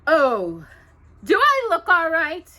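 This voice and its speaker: A woman's voice: very high-pitched, wildly swooping pitch, slow pace, pushed and bright, pitched above the performer's own voice, exaggerated voice. A woman voicing a spoiled, whiny, bossy young stepsister in a storybook fairy tale. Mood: excited. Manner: whining, snapping, demanding.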